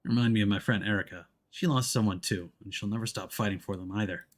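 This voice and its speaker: A man voicing a gruff, world-weary, protective detective in a video game story, speaking in a dry, terse, understated way. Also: on the low side, quick pace, natural voice. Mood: dry, softened. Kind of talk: visual novel character voicing.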